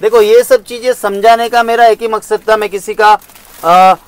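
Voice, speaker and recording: A man in his thirties giving an emphatic sales pitch while walking through a large indoor saree showroom. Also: steady tone, normal speed, slight background noise. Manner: emphatic, persuasive, gestures while talking. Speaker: saree wholesaler. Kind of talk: sales pitch in a shop interview.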